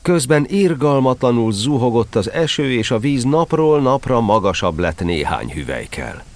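A man's voice: mid-range voice, big pitch swings. A man narrating a scripted children's story expressively, as the narrator of an audio drama.

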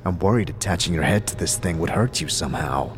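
A man's voice: low-pitched, lively intonation, fast talker, soft background music. A man playing a blunt, dry wandering adventurer in a fantasy audio drama. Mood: doubtful, calm.

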